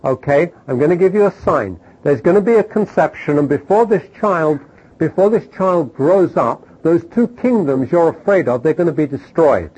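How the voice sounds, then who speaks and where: A man quoting the Lord as a divine voice who insistently promises a sign, a bit quicker and higher than his own talk. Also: animated, a little mellow, slightly rough, poor audio. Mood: promising.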